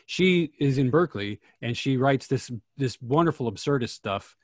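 A man hosting a casual discussion on a video call, talking in a thoughtful, halting way. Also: medium pitch, lively intonation, no room sound, clean studio sound. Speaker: host of a play-reading discussion.

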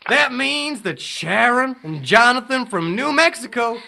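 An actor playing a slick, theatrical game show host in a dystopian audio drama. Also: male voice, very high voice, expressive, measured pace, gravelly, background noise, heavily acted. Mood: showy. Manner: theatrical, gushing announcer delivery.

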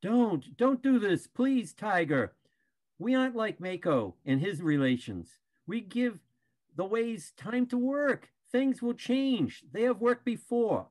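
A man's voice: high voice, very animated, takes it slowly, a little mellow, exaggerated voice. An older man playing a patient panda bear peacemaker in a dramatic play reading, earnest and imploring. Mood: pleading. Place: video call.

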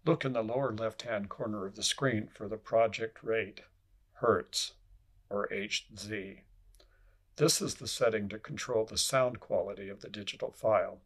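A man reading scripted instructional narration in a measured, formal way, as an instructor would. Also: lowish voice, a bit bright, husky.

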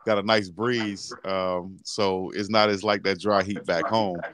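A man's voice: deep voice, flat delivery, measured pace, fairly bright tone. A man hosting a casual sports interview show over a video call with a headset, laid-back and chatty with frequent fillers. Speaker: sports talk show host. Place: indoor room, video call.

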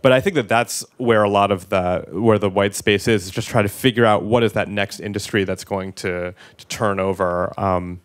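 Low-voiced man on a handheld mic. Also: fairly even intonation. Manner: conversational, quick asides, many filler words and restarts. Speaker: product executive and guest speaker. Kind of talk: fireside chat on stage. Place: meetup event room, indoors.

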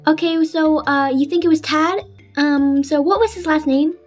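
A high-pitched, businesslike female cop acted by a woman asks and informs in a plain procedural way. It is an improvised game roleplay with faint music behind the voice. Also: fairly even intonation, moderate pace, close to an everyday voice. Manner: matter-of-fact, procedural questioning. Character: businesslike female police officer taking robbery reports.

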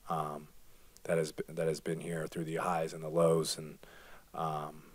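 Soft-spoken low-voiced man at a press table. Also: monotone, measured pace, dry recording. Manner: soft-spoken, many fillers and restarts. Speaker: basketball player. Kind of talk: postgame press conference answers. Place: press conference room. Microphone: table microphone.

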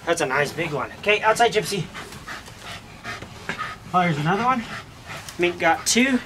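A man's voice: high voice, expressive, moderate pace. Man calling to dogs outdoors. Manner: calls out commands to dogs, praises them warmly. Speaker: pest control ratter with dogs. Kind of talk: casual running commentary. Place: outdoor dirt pens on a farm. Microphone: chest-mounted body camera. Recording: noisy outdoor camera audio. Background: dogs and outdoor ambience.

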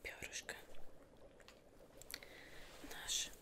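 Young woman whispering an ASMR roleplay. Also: drawn-out words. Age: young adult. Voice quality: breathy, unvoiced whisper. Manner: soft, murmuring, reassuring, addresses the listener as a client. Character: witch seamstress fussing over fabrics for a client. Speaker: ASMR roleplayer playing a witch seamstress. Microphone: close microphone, facing camera.

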